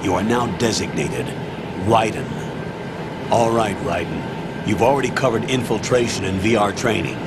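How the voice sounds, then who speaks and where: An actor playing a stern older military commander in a video game, giving an authoritative, clipped, matter-of-fact briefing. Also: male voice, lowish voice, moderate pace, very noisy, close to an everyday voice. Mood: businesslike. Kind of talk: video game cutscene voice acting. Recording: loud music behind the voice.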